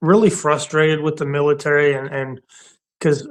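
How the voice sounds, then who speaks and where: A middle-aged veteran man chatting casually on a talk show. His voice sits somewhat high for a man, and he drives his points home bluntly, repeating them and laughing between thoughts. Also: little pitch movement, normal speed, smooth. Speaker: co-host; Army warrant officer veteran. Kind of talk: casual conversation.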